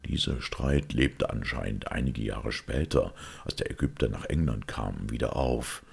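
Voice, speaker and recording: A man reading the part of a shrewd, calm priest detective in an audiobook, in a measured, deliberate way. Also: extremely low pitch, vocal fry, husky, full character voice.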